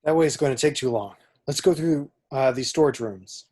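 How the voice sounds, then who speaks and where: A man playing a brisk, bossy space rogue with a slightly husky voice, reading a film script. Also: medium pitch, a little mellow, close to an everyday voice. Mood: urgent. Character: brisk, bossy, roguish male rebel pilot hero. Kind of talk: table-read acting of a film script.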